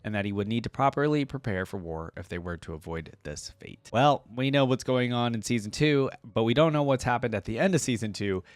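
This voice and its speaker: A man's voice: mid-range voice, expressive, a little fast, hardly any pauses, dry recording. Casual male reaction host, dry close sound. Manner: informal, addresses viewers as guys.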